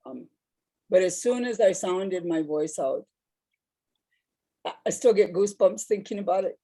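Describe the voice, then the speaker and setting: A woman's voice: fairly low pitch, a little slow, mellow. A woman with a big voice, telling personal stories on a discussion panel in a reflective, rambling way.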